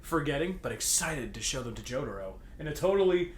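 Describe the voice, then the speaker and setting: A man's voice: mid-range voice, expressive, fairly quick, crowd noise. A young man reading a story aloud in a jokey way, breaking into banter, with a giggly, stammering, playfully dramatic delivery. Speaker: co-host reading fan fiction aloud. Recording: roomy echo, clear background noise.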